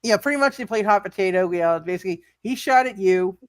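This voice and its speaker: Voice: mid-range voice, fast, no echo, clean studio sound. A game master running a live tabletop roleplay, thinking aloud and often repeating words and phrases while narrating and making rulings.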